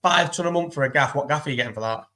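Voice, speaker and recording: A man in his early thirties hosts a casual chat. He talks fast and a little high, swearing freely and firing off question after question in a blunt, matey way. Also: sharp tone. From England. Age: 30s.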